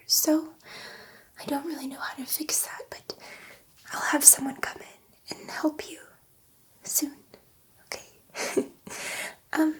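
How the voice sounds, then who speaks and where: A soft, breathy whisper carries this woman's portrayal of a female alien scientist in an ASMR sci-fi roleplay. She takes her time, with unhurried phrasing and a high, delicate pitch. She is gentle and reassuring, a little nervous and prone to rambling. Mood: gentle, flustered. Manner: hesitant, rambling, reassuring, easily sidetracked.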